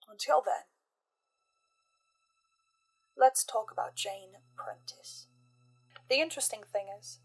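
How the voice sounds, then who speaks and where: A woman hosting a scripted horror analysis, speaking as an investigator in a measured, deliberate way with dramatic pauses. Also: a little high, breathy edge, poor audio. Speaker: host of a fan theory channel. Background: music.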